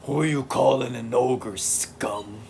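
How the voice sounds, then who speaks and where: A man's voice: medium pitch, slow pace, gravelly, darkish tone, slight background noise. A man voicing a boastful giant male cosmic ogre who speaks in grandiose, old-fashioned threats. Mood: booming boast.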